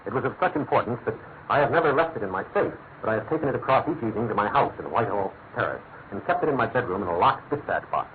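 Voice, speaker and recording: On a thin, phone-like radio recording, an actor plays an anxious British government minister. His formal, earnest speech runs fast and swings expressively in pitch, in classic radio play manner. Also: lively intonation, natural voice. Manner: rapid, earnest, formal, emphatic. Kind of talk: classic radio drama acting. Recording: thin narrow-band old radio recording, faint noise.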